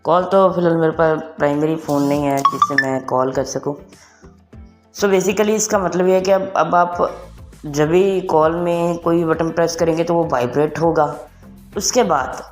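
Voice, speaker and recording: A man's voice: on the high side, fairly even intonation, moderate pace. A young adult man gives a casual tech tutorial to online viewers, mixing English phrases into his talk. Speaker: tech video presenter. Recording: music behind the voice. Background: background music.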